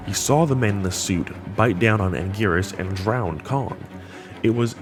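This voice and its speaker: A man with a fairly low voice hosts a casual discussion of a horror series. He talks in a slangy, enthusiastic way, with light music underneath. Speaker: video host. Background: background music.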